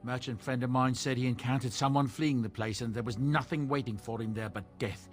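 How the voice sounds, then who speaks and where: A man's voice: mid-range voice, steady tone, fairly quick, faint music behind, close to an everyday voice. A man voicing a genial middle-aged dwarf merchant in a fantasy game, with an obsequious salesman's courtesy. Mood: confiding, gossipy.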